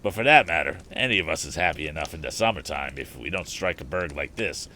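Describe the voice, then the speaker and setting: Man putting on a proud, low-voiced old-time hobo. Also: animated, quick pace, sharp tone, a little hiss or hum, exaggerated voice. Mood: proud, dismissive. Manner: folksy, boastful slang. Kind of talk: acted audiobook dialogue.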